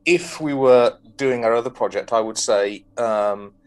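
A man co-hosting a casual podcast, pausing often with ums as he gathers his thoughts. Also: mid-range voice, clear, resonant tone. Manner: hesitant, lots of ums. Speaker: podcast co-host. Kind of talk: casual chat.

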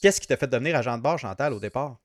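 A man with a Québécois French accent hosting an interview, asking relaxed questions with many fillers. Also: mid-range voice, very fast, no room sound, studio quality. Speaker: podcast host. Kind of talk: casual interview chat.

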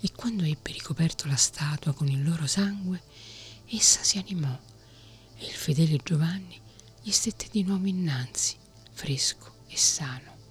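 A woman whispering a fairy tale aloud as a soft ASMR reading. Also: low-pitched, normal speed, soft background music. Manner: whispering throughout. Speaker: storyteller.

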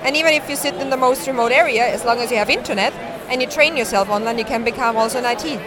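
A woman's voice: fairly high pitch, very fast. Austrian-born woman amid a busy conference crowd. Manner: earnest, explanatory, non-native phrasing. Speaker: guest, startup founder. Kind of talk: interview at a conference. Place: conference hall.

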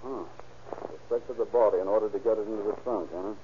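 An actor playing a brisk, businesslike police captain in 1930s radio drama style. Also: male voice, lowish voice, natural voice. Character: brisk, businesslike male police captain leading a murder investigation. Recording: tinny, hissy old radio recording.

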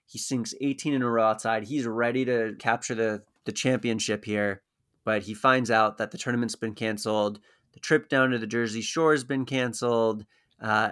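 A man co-hosting a casual recap podcast, summarizing plot points in an even, matter-of-fact way. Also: on the low side. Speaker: podcast co-host.

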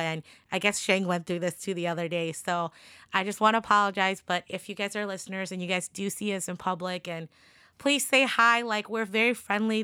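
A woman hosting a casual podcast, slightly hoarse from a cold, chatty and upbeat with plenty of "like" and "um". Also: lowish voice, pushed and bright, no echo, studio quality. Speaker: podcast host.

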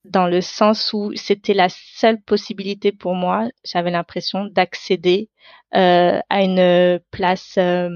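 A woman's voice: on the low side, little pitch movement, slow, darkish tone, no room sound, highs cut off. A woman whose first language is Tamil, reflecting on her own life in an unscripted interview, searching for her words as she goes. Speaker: interview guest.

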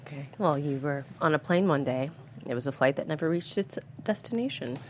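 Woman acting a low-voiced mysterious, composed lady. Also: expressive, fairly quick, a little hiss or hum. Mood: cryptic, calm. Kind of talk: table read of a thriller script.

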